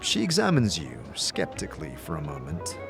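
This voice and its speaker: A man's voice: rumbling low, wildly swooping pitch, normal speed, vocal fry. A man narrating a scripted fantasy audio drama as its storyteller and game master. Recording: music under the voice.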